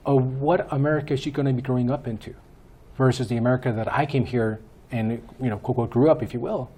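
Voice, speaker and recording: A man in his fifties from Peru, answering interview questions as a guest in a measured way with many hesitations. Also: medium pitch, fairly even intonation, mellow, husky. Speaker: guest, school board candidate.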